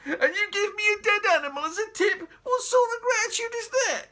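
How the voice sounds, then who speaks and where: A man doing a shrill outraged taxi driver. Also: expressive, brisk, bright, forceful tone, full character voice. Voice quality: high, strained, outraged. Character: worn-out indignant male taxi driver.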